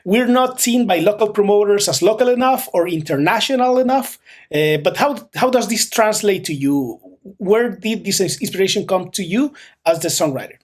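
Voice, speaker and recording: A man interviewing a musician backstage, asking his questions in a halting, hesitant way. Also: high-pitched, lively intonation.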